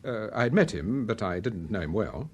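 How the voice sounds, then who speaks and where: An actor playing an urbane, wry novelist and amateur detective in a radio drama, with a suave, teasing British delivery. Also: male voice, fairly low pitch, very animated, normal speed, a little mellow. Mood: inquiring. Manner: suave, teasing, dryly amused.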